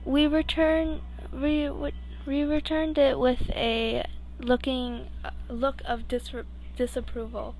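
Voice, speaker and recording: Young woman reading fanfic out loud, kinda high voice, slow, keeps tripping over words and adding asides. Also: level tone, poor audio. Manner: halting, stumbling over words. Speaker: amateur podfic reader. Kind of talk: informal fan fiction read-aloud with asides.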